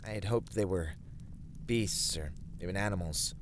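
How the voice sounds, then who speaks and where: A man's voice: sits low, normal speed, noisy background, lightly acted. A man playing an earnest, courteous fantasy adventurer in an ASMR roleplay, speaking in formal, thoughtful, slightly hesitant phrases. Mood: wary.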